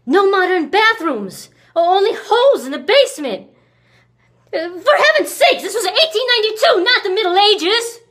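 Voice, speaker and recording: A woman performing a dramatic monologue as a cold, reclusive, resentful woman accused of murder in the 1890s, with deliberate pauses. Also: on the low side, lightly acted. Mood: indignant, agitated. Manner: slow, deliberate delivery with long pauses.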